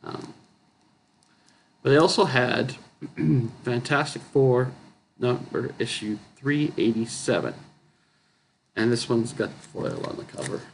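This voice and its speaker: A man chatting casually about his comic book haul, his voice going hoarse and rough, clearing his throat often and correcting himself as he rambles. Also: mid-range voice, animated, slow, long pauses, slight background noise, rough recording. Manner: rambling, self-correcting, chuckling. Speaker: comic book collector vlogger.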